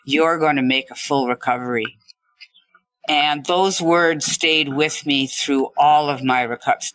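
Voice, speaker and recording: A woman's voice: low voice, sharp tone, no room sound, poor audio. A woman speaking as an interview guest, telling personal anecdotes in a conversational, emphatic way. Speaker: interview guest, stroke survivor.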